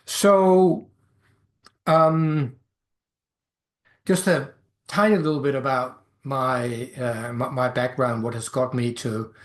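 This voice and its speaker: A man's voice: medium pitch, fairly even intonation, takes it slowly, pauses often. Danish-accented middle-aged man hosting a podcast. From Denmark. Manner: hesitant, many fillers and restarts. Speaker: podcast host and life coach. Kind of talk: solo podcast monologue.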